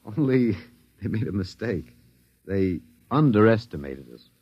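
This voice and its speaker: An actor playing a wry, detached, philosophical American academic, musing and hesitant, in a 1950s radio drama. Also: male voice, lowish voice, very animated, a little slow, slightly rough, no room sound, exaggerated voice. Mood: darkly amused. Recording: dull vintage 1950s radio recording.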